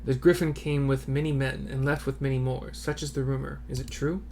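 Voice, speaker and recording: A man's voice: mid-range voice, little pitch movement, brisk, gravelly, darkish tone, slight background noise, close to an everyday voice. Man putting on a wary, terse old village elder. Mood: grave.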